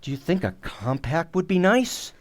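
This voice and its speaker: A man's voice: fairly high pitch, sing-song, normal speed, no room sound. A man playing a mild, polite, hesitant husband in an old-time radio sitcom. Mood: patient, insistent.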